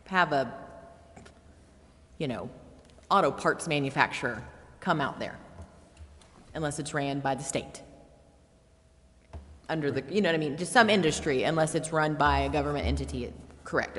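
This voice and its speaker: Woman w a low voice explaining stuff at a meeting, direct, echoey hall. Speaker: council meeting participant. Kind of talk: informal explanation at a public meeting. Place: council chambers during a public meeting.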